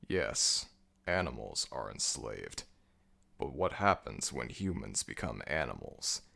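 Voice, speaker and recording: Ancient jackal death god, super deep, slow and imperious, brooding, voice swings around, asmr roleplay. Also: male voice, big pitch swings, bright, forceful tone, full character voice. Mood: grave, explanatory. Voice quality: very deep, gravelly. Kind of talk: ASMR audio roleplay acting.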